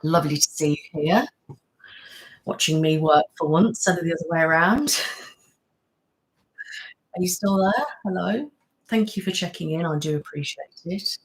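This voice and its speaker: A woman's voice: lowish voice, moderate pace, pauses often, rough recording. A woman hosting a casual live-stream chat, rambling, laughing often and thanking viewers by name. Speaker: ASMR channel host.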